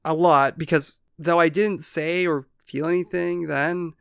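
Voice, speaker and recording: A man's voice: a little high, fairly even intonation, unhurried, vocal fry, a bit bright, smooth, no echo, clean studio sound. A man voicing an anxious, awkward, lovesick young man in an amateur webcomic dub. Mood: flustered.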